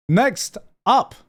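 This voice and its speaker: A man's voice: sits high, husky. A young man casually reacting to an anime trailer on a live stream, swearing freely, laughing often and repeating himself as he thinks aloud. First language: not Japanese.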